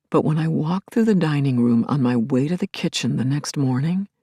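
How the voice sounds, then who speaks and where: A woman's voice: sits low, darkish tone, breathy edge, no room sound, studio quality, exaggerated voice. An actress playing a grieving middle-aged widow in an audio play, telling her story in a searching, intimate way that can drop toward a whisper. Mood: shaken, astonished. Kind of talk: dramatic first-person monologue, audio play.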